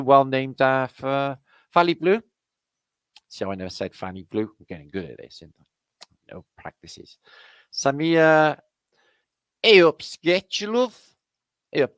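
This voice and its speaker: Welsh male stream host, middle-aged, low gravelly voice, dry close sound, rambling and sweary. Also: no room sound. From Wales. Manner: rambling, swears a lot, greets chat names. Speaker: livestream host. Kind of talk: casual live-chat banter.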